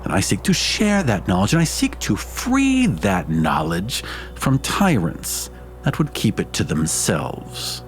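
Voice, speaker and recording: A man's voice: on the low side, wildly swooping pitch, takes it slowly, soft background music, voice pushed down, exaggerated voice. Man playing a swooping, fussy dragonborn scholar. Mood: earnest. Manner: formal, indignant, fussy. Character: earnest, nosy, pompous scholarly male dragonborn adventurer. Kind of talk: improvised tabletop roleplay.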